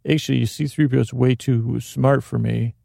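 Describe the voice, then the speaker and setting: A man with a heavily gravelly, mellow voice hosts a sleep podcast, wandering through tangents and poking fun at himself. He talks in a low-key murmur full of restarts, recorded on a close, dry mic. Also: fairly even intonation, moderate pace, no echo. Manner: meandering and self-deprecating, with frequent restarts. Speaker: podcast host. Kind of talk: rambling sleep podcast monologue.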